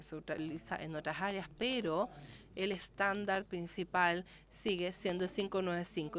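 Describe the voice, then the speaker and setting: A woman giving live interpretation of a medical lecture, speaking steadily and often correcting herself mid-word. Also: medium pitch.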